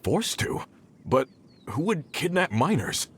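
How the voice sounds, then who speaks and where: Brisk, expressive search-party leader. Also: male voice, on the high side, a little hiss or hum, exaggerated voice. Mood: concerned. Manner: decisive, businesslike. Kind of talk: video game character acting.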